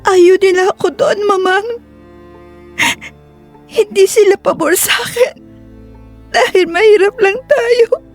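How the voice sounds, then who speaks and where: A woman's voice: very high voice, steady tone, slow pace, pauses often, soft background music, voice pushed up. A woman voicing a heartbroken young wife and daughter, crying and pleading plaintively in an audio drama. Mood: hurt, tearful.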